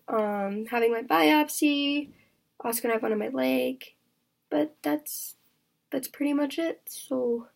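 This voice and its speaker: A young woman in her twenties is talking openly with her vlog audience. Her voice sits high and has a gravelly, raspy edge. She speaks in halting stretches, full of fillers and restarts. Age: 20s. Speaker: vlogger. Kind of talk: candid personal vlog chat.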